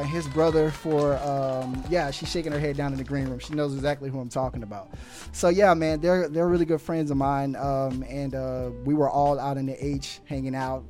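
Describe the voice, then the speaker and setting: A man's voice: a little high, darkish tone. A man hosting a podcast, chatting casually and animatedly with slang and frequent laughs. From Houston area resident, now Miami. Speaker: podcast host. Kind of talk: casual chat.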